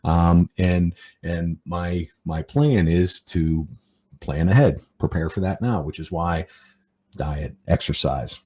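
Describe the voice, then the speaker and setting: Man, forties, deep dark voice, rambling, lots of fillers and restarts, casual podcast talk. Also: slow. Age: 40s. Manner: rambling, many fillers and repeated words. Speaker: podcast host. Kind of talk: casual reflective monologue.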